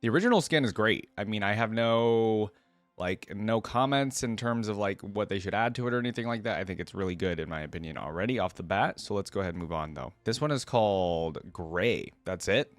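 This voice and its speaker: Male reaction host, lowish pitch, conversational off-the-cuff commentary, thinks aloud, very dry recording. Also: brisk, no echo.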